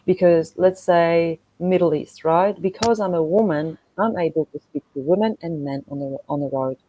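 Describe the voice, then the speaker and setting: A woman's voice: fairly low pitch, normal speed, highs cut off, a little hiss or hum. A middle-aged woman with a Polish accent, chatting casually and animatedly as she tells stories as a podcast guest. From Poland. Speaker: podcast guest, motorcycle traveller and content creator. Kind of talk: casual conversational storytelling.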